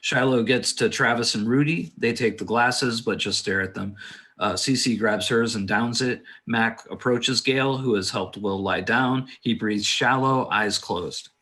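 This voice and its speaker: A man's voice: mid-range voice, a little slow, pushed and bright. A man reading screenplay stage directions as a matter-of-fact narrator at a table read.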